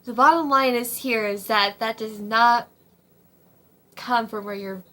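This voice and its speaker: A young woman in her twenties, slightly hoarse, giving casual advice from her home kitchen in a rambling way, with many ums and likes. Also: on the high side, measured pace, a bit bright. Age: 20s. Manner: rambling, full of ums and likes, frequent laughs. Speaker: vlogger. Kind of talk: casual faith-based advice vlog.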